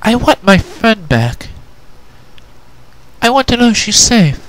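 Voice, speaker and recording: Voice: medium pitch, big pitch swings, slow, fairly bright tone, pitched above the performer's own voice, poor audio. A performer voicing an angry, distrustful young female pony in a cartoon fan story, with an indignant, emphatic way of talking. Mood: pained. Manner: indignant, emphatic, stammering when upset.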